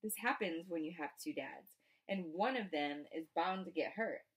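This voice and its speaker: A woman reading a first-person novel aloud as expressive narration. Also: lowish voice, moderate pace. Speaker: audiobook reader.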